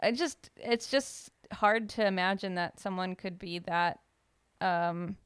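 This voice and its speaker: A woman's voice: medium pitch, takes it slowly, fairly bright tone, smooth, no echo. A woman co-hosting a podcast in casual chat, rambling with lots of fillers and restarts. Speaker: podcast co-host.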